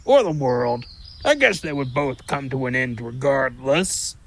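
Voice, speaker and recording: A man's voice: mid-range voice, lively intonation, unhurried, fairly bright tone. A man voicing a sly, mean, gossiping squirrel in an audio drama, flippant and boastful as he tells his tales. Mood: dismissive. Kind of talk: audio drama character acting.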